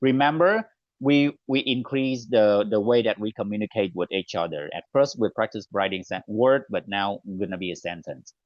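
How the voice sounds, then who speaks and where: A man with a Vietnamese accent, whose first language is Vietnamese, leading an online teacher training session and slowing down on the phrases he models. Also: fairly low pitch, no room sound. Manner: clear, deliberate classroom delivery, slows on model phrases. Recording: dull, dry online call audio.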